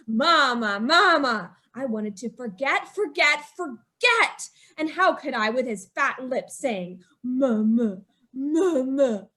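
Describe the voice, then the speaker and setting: A woman's voice: sits high, bright, forceful tone, heavily acted. A woman playing a scheming, guilt-ridden young wife who confesses to murder in feverish, insistent old-time radio style. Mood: tormented resentment. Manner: feverish, melodramatic, insistent repetition.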